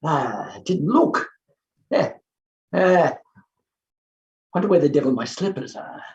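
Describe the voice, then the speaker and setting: An actor playing an overbearing, impatient, scornful professor in a theatrical play reading, blustering and muttering exclamations. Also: male voice, a little high, expressive, slow pace, highs cut off. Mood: distracted, exasperated. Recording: roomy echo with faint hiss.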